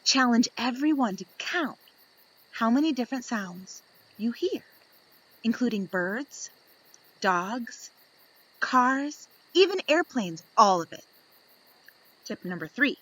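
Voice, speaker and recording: A woman reading aloud to young children in an expressive storytelling style, deliberate and fond of dramatic pauses. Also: on the high side, low-quality recording.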